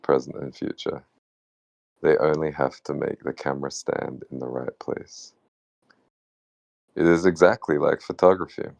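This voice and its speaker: A man reading a spiritual text aloud in measured, deliberate phrases. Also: rumbling low, gravelly, a little mellow, dry recording. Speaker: participant reading in a book club.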